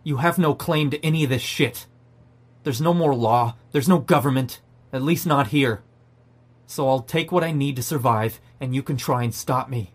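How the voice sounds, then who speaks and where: A man's voice: medium pitch, moderate pace, natural voice. A man playing a sarcastic, defiant, foul-mouthed zombie apocalypse survivor in an audio drama. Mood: angry, defiant. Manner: sardonic, profane. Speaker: narrator and lead character. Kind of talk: first-person audio drama narration and dialogue.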